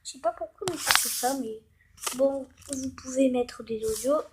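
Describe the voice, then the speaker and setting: A woman hosting a casual, improvised tutorial, hesitant and halting as she thinks aloud while demonstrating. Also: sits high, noisy background. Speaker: tutorial host.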